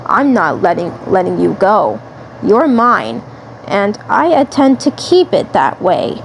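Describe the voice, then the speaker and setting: A woman's voice: mid-range voice, big pitch swings, slow pace, a little hiss or hum, low-quality recording. A woman voicing a possessive, lovestruck male alien commander in a fan drama, gloating and repeating phrases. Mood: possessive, determined.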